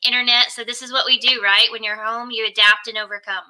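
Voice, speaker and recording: A soft-spoken woman talking earnestly as a guest coach on a casual video call from home. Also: on the high side, little pitch movement, quick pace, poor audio.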